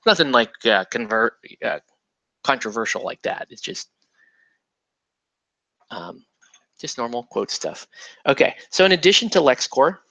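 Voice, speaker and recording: A man's voice: mid-range voice, sing-song, measured pace, gravelly, pauses often, sharp tone, dry recording, poor audio. A man hosting a miniatures hobby show, chatting casually while he builds models, rambling and joking with lots of fillers. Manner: breaks into laughter and puts on silly voices.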